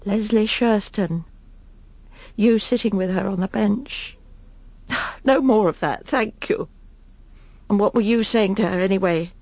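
A woman's voice: medium pitch, unhurried, long pauses, a little mellow, no echo. An actress in a radio drama playing a proper, judgmental English wife and mother with a clipped, haughty manner. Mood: musing, unsettled. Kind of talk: BBC radio drama acting.

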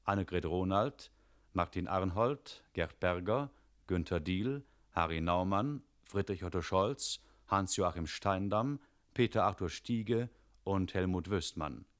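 A man announcing formally for radio, reading from a script with measured, precise enunciation. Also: deep voice, animated.

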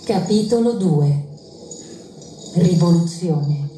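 A woman's voice: lowish voice, lively intonation, takes it slowly, dark tone, roomy sound, a little hiss or hum. A woman making solemn scripted chapter announcements for a theatrical drama.

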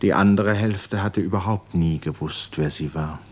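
A man narrating a 1950s literary radio play in a measured, sober manner. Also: low voice, darkish tone.

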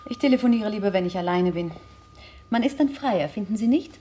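A woman's voice: mid-range voice, lively intonation, a little fast, heavy background noise, natural voice. An actress playing a self-assured, talkative woman with an ironic, pointed way of speaking in a naturalistic radio drama. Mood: cool, dismissive. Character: self-assured, sardonic, independent older girlfriend of a runaway man.

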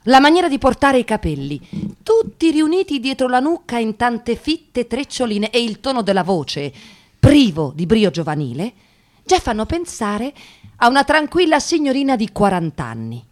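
An actress playing an observant interviewer who narrates encounters in a measured, literary, reflective way in a radio drama. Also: female voice, fairly high pitch, big pitch swings, a little mellow. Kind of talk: scripted first-person narration in radio drama.

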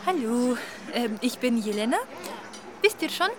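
A young café waitress in a radio drama with a fairly high, chirpy voice. She is flustered and hesitant, apologising and joking in the same friendly breath. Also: lively intonation, unhurried, a crowd in the background.

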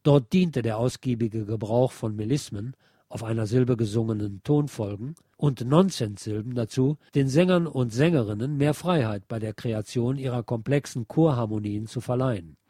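A man reading aloud from a nonfiction book as a radio presenter, in a measured, articulate way. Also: mid-range voice, mellow, no room sound, clean studio sound. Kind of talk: scripted narration, read aloud from a nonfiction book.

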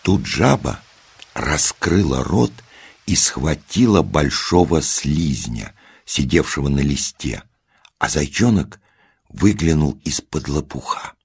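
A man narrating a scripted children's nature tale as an expressive storyteller. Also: very deep voice, takes it slowly, creaky, husky.

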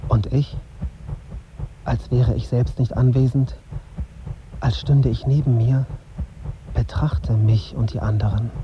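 A man's voice: mid-range voice, fairly even intonation, slow pace, long pauses, close to an everyday voice. A dull, slightly noisy recording carries a young journalist's interior monologue acted by a man. He observes in short fragments, introspective and detached, as a brooding radio drama narrator.

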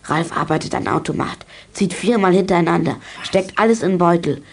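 A woman's voice: lowish voice, a little fast, vocal fry. A woman playing a feisty, plucky young detective in a children's radio play, telling exploits in clipped telegram style. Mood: excited, boastful. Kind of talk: 1980s German children's radio play acting.

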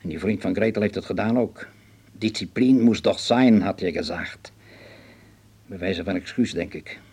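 Husky, grave older man. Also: deep voice, darkish tone. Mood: worried, guarded. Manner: measured, formal, guarded. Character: cautious, grave older German husband, fatherly and worried. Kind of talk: radio drama acting.

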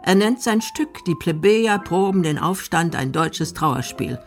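A woman's voice: lowish voice, lively intonation, a little slow, faint music behind. A woman reading scripted narration for a radio documentary drama.

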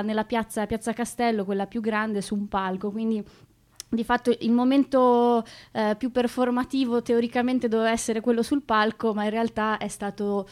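A woman's voice: a little high, darkish tone. Articulate woman explaining on radio. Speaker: theatre performer discussing collective's work.

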